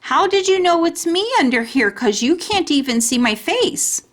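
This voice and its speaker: A woman voicing an excitable, sassy little kindergarten girl from a storybook, with childish, emphatic kid talk. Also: high voice, moderate pace, voice pushed up. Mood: surprised. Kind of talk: children's storybook character reading.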